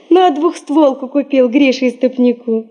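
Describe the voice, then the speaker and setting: An actress in a radio play playing an affectionate teenage granddaughter who gently teases her grandfather. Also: dull audio. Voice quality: high, soft. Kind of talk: Russian radio play acting.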